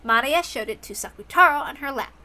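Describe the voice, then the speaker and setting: A woman's voice: high-pitched, expressive, fairly quick, sharp tone. A woman reading a story aloud as scripted narration. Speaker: reader.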